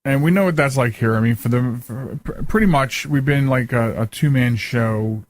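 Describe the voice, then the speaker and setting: A man's voice: mid-range voice, moderate pace. A man hosting a radio interview in a conversational, rambling way, circling back and repeating phrases. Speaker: radio show host.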